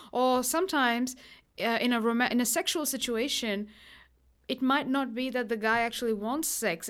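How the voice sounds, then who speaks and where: A woman's voice: a little high, clean tone. A young woman hosting a podcast, introducing an episode in an earnest, chatty way with small fillers and restarts. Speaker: podcast host. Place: Melbourne.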